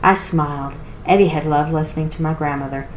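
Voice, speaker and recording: A woman reading a storybook aloud as narrator for a library, with expressive delivery. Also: low-pitched, fairly quick. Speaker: reader for a library read-aloud. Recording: roomy echo with clear noise.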